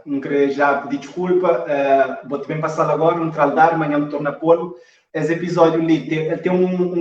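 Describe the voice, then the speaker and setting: A man with a slightly high voice talks informally as an interview guest. He sounds set back from the microphone in an echoey room, with a somewhat muffled online-call sound. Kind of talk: casual interview talk. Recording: muffled, roomy, distant mic on stream.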